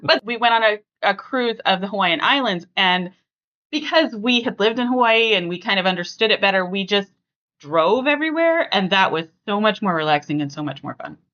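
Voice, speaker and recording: A woman hosting a casual family podcast, chatting conversationally and thinking out loud as she talks. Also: medium pitch, lively intonation, a little fast, dull audio. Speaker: podcast host.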